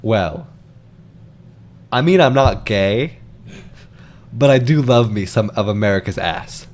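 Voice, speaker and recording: A man giving opinions as a panelist in a casual review chat, with an animated manner. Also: fairly low pitch, slow, slight background noise.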